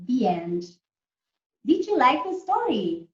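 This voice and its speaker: A woman with a fairly high voice teaches young children in an interactive lesson. She explains in simple terms and keeps asking short check questions. The sound is echoey and somewhat muffled. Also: very animated, slow, a bit bright. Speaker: primary school teacher leading an online lesson. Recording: echoey room, distant muffled mic.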